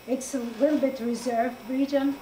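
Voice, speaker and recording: A woman from Morocco presenting to an audience in a halting way, with many fillers and frequent laughs. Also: high-pitched, level tone, dark tone. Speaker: guest presenter. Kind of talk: informal talk to an audience. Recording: echoey room, distant mic, faint noise.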